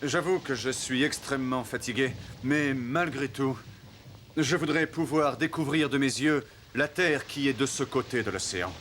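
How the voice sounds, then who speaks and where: A man playing an earnest, devout and commanding admiral explorer in an animated historical cartoon. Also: medium pitch, normal speed, a little hiss or hum, natural voice. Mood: weary, longing.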